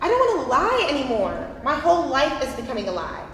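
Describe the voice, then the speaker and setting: A woman's voice: high-pitched, wildly swooping pitch, brisk. A young woman acting a frustrated, yearning twenty-one-year-old in a staged play reading, recorded in an echoey, noisy hall. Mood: exasperated. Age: young adult.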